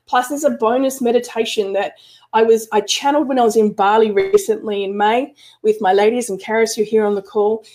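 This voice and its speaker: A woman's voice: on the high side, steady tone, brisk, a little mellow. A woman spiritual business coach talking casually to her online group in a live stream, with lots of restarts and fillers. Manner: chatty, frequent restarts and fillers.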